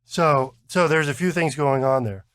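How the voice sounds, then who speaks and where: A man's voice: a little high, normal speed, a bit bright, clean tone, no room sound, studio quality. A man explaining technology in a casual chat, stammering, repeating words and checking in with "right?". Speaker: podcast co-host explaining technology.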